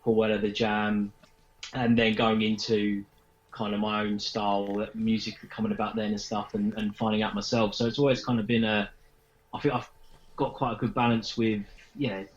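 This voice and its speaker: A dull, lo-fi recording of a low, flat male voice. He answers as an interviewed band member, rambling casually. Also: moderate pace. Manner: rambling, heavy hedging with kind of. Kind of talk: casual chat. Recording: dull lo-fi remote sound.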